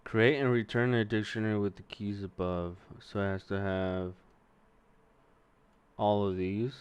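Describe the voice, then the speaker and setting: A man's voice: on the low side, steady tone, unhurried, clean tone, no echo. A young man with a Mexican-American accent, casually swearing and thinking aloud as he works through coding exercises on a stream. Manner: profane, rambling, thinks aloud while typing. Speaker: streamer learning to code.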